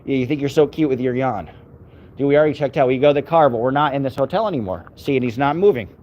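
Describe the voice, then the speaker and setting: A man's voice: medium pitch, fast talker, a little mellow, dull audio. A man walking his dog outdoors on city streets, chatting casually to his live-stream viewers and his dog in a rambling way.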